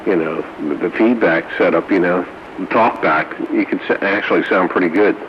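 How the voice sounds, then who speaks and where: Deep-voiced man on muffled radio. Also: normal speed. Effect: two-way radio transmission. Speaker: amateur two-way radio operator. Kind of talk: casual radio banter. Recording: muffled, noisy two-way radio audio.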